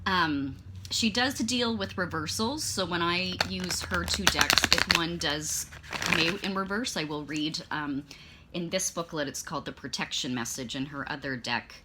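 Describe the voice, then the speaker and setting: A woman's voice: lowish voice, measured pace, background noise. A woman reading tarot and oracle cards in a casual, meandering way, filling her talk with ums and restarts, her voice strained and fading as if she is losing it. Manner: chatty, meandering, with many fillers and self-corrections. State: losing her voice, clearing her throat. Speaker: tarot and oracle card reader. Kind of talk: casual spoken card reading.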